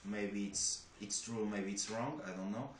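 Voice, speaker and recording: Young Portuguese-accented man, low-ish voice, hesitant interview answers, roomy echo. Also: fairly even intonation, measured pace. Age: young adult. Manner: hesitant, many restarts and fillers. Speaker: job applicant. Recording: echoey room with faint noise.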